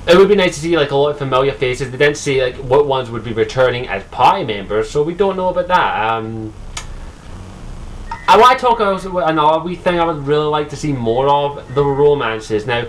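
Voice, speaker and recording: A man's voice: a little high, animated, moderate pace, slight background noise, poor audio. A young man from Scotland with a Scottish accent, talking casually and profanely about a game, full of ums and restarts. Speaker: gaming vlogger. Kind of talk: casual solo commentary.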